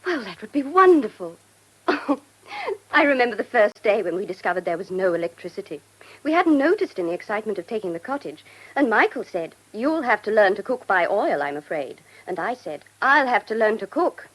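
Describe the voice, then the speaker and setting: An actress playing an affectionate, scatterbrained young newlywed in a radio play, with a genteel, well-spoken English accent. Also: female voice, medium pitch, big pitch swings, moderate pace, fairly bright tone, rough recording. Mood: amused, eager. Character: Mary, young middle-class newlywed wife, affectionate, scatterbrained.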